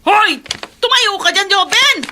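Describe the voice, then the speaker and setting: An actress playing a bossy, cruel, scolding aunt with a Filipino accent in a radio drama. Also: female voice, extremely high pitch, unhurried, background noise. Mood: scolding, threatening. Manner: scolding, nagging. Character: bossy, cruel aunt ordering children around the house.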